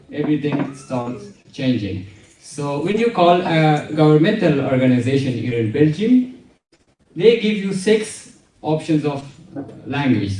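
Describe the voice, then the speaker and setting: A man in his young adult years performing stand-up in English. He talks slowly in a slightly raised voice that swoops up and down, and his delivery is wry and dry. The sound is roomy and picked up from a distance. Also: fairly high pitch, slight background noise. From Afghanistan. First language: Farsi. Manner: wry, deadpan joking with laughing asides. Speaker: stand-up comedian. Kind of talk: stand-up comedy set.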